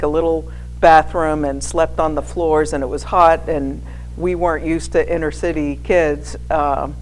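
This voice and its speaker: A woman's voice: deep voice, darkish tone, poor audio. An American woman in her sixties giving an informal personal testimony in a hesitant, conversational, anecdotal way. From New England, United States. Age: 60s. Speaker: guest speaker, former missionary sharing with a church congregation. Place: speaking live to a church gathering. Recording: clear background noise with hum.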